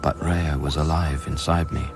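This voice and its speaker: A man's voice: a bass voice, little pitch movement, moderate pace, creaky, music in the background. An actor playing a brooding, guilt-ridden scientist in a radio drama, terse and subdued in his lines and narration. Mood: sombre, grieving. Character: anguished, guilt-ridden male scientist haunted by lost love. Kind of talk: radio drama acting with first-person narration.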